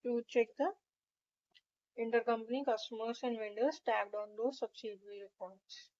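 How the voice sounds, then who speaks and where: Female tutorial presenter, higher-pitched voice, methodical step-by-step delivery, slightly muffled voice-over sound. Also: steady tone, normal speed, darkish tone. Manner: methodical, instructional. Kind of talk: step-by-step screen tutorial narration.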